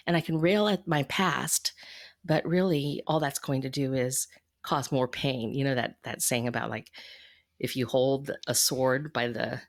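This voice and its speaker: Low-key woman telling her story candidly. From United States. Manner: candid, measured, thoughtful. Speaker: podcast guest, financial coach. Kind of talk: reflective personal storytelling in an interview.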